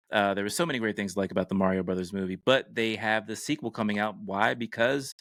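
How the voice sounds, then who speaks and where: Low-voiced middle-aged man, dry close sound. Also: no echo. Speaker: podcast co-host. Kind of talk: casual pop culture commentary.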